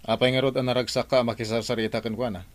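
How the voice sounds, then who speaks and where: A man's voice: medium pitch, flat delivery, quick pace, no room sound, close to an everyday voice. A man playing a jealous, touchy boss in a radio drama, asking sulky questions. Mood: brooding.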